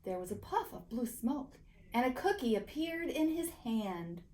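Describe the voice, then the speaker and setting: Very animated woman reading a children's story. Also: fairly high pitch. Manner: theatrical, deliberate. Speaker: teacher reading aloud. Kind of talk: expressive children's story narration.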